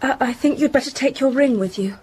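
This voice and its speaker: A woman's voice: high-pitched, fairly quick, a little mellow, a little hiss or hum, natural voice. An actress playing an outspoken, disillusioned young upper-class woman in a period radio drama, with candid, pointed delivery. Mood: candid, hurt.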